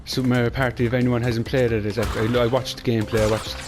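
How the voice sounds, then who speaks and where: A young Irish man chatting quickly and rambling while he plays through a platform game. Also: medium pitch, little pitch movement. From Ireland. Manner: fast, rambling, chatty with Irish phrasing like "class". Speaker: gaming channel host. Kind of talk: casual gameplay commentary. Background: game music.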